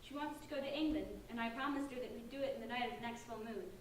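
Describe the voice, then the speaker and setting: A woman's voice: a little high, quick pace, natural voice. A woman playing an earnest, determined sixteen-year-old girl who leads her friends in a staged play reading. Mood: earnest, firm. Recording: distant echoey room, faint noise.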